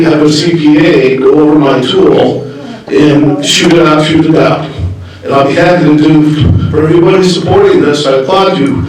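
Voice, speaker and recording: Guy pushing the board with questions at public comment, kinda high voice, big echoey hall, mic pretty far off. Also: a little mellow. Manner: pointed, insistent questioning. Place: meeting hall.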